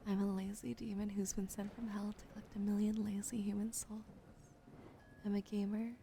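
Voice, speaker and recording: Woman doing asmr on stream, lots of soft whispering, warm gravelly voice, close boom mic. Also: flat delivery, a little hiss or hum. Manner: often whispering, soft and unhurried. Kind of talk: ASMR livestream chat. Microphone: sensitive studio mic on a boom arm.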